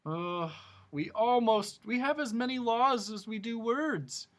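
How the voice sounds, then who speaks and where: Man playing a storybook king, blustering, gloomy, booming, commanding, high and bright. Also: big pitch swings, unhurried. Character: gloomy, blustering middle-aged male king.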